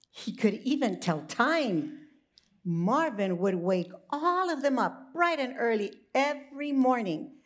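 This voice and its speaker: A woman narrating a children's storybook in an expressive, deliberate way. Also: mid-range voice.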